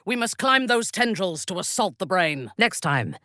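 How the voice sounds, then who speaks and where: A woman playing a commanding, ruthless zealot warrior in a video game, speaking with a low husky voice and a measured, imperious, menacing manner. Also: sing-song, pushed and bright, dry recording, studio quality. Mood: cool, measured. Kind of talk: video game character voice lines.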